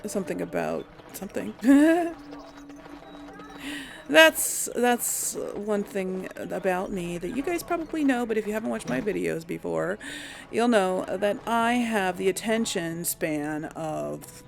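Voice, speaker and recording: Slow, creaky-voiced woman gamer. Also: medium pitch, animated. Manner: hesitant, thinking aloud, self-deprecating. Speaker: gamer, let's play host. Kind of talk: casual rambling game commentary.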